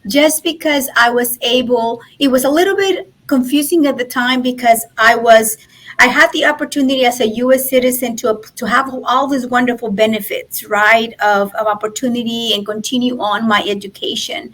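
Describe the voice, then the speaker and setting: A woman's voice: a little high, normal speed, fairly bright tone, low-quality recording. A woman in her forties from Mexico, a native Spanish speaker with a Mexican accent, chattily telling her personal story as an interview guest. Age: 40s. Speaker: podcast guest, nonprofit president and business founder.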